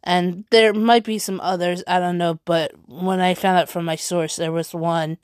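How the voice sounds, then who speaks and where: A woman hosting a wrestling fan podcast, chatting casually in a halting way with lots of fillers. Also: fairly low pitch, steady tone, no echo, studio quality. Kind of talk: casual chat reading news and tweets.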